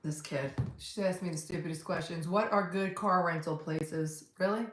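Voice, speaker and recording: A woman's voice: on the low side, normal speed, recorded from a distance. Chatty New Jersey woman, echoey room. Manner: chatty, blunt, rambling. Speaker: podcast co-host. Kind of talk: casual chat.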